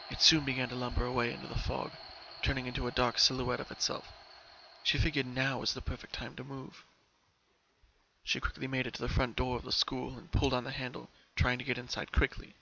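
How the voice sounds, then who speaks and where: A man gives a scripted dramatic reading as a story narrator. His voice sits at a middle pitch with a bright, forward tone. The sound is a little dull, like a home recording. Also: gravelly, a little hiss or hum.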